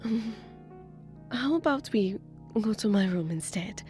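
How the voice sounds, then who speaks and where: A woman playing a gentle, earnest and hesitant young lady in a romantic audio drama. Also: mid-range voice, animated, measured pace, faint music behind, close to an everyday voice. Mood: flustered. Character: sweet, shy, earnest young woman, a seamstress.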